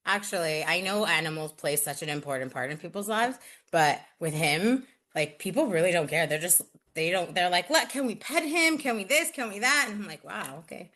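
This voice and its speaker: A woman in her thirties, chatting casually as an interview guest, full of "like" and quick asides. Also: on the low side, lively intonation, a bit bright. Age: 30s.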